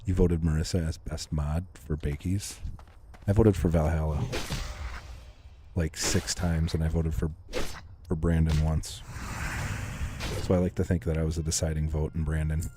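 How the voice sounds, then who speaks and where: A deep-voiced man chats quietly with his live-stream viewers while he plays. His tone is mellow and soothing, and he never raises it. A faint hiss sits under the voice. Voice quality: calming low voice. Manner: soft-spoken, unhurried, reads and thanks chat messages. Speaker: game streamer. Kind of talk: casual live-stream chat while gaming. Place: home room.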